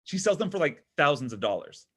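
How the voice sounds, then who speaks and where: Actor as higher-voiced, insecure boyfriend. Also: male voice, very animated, a little fast, slightly rough. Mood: suspicious. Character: needy, insecure boyfriend in his thirties, pressing for reassurance. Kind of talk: comedy pilot table read.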